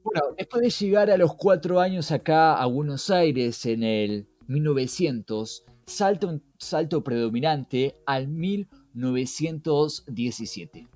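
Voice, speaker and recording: A man's voice: fairly high pitch, measured pace, few pauses, darkish tone, faint music behind. A man with a Rioplatense Argentine accent co-hosting a conversational explainer podcast, often posing questions and then answering them. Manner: rhetorical questions to co-host and listener. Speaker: podcast co-host.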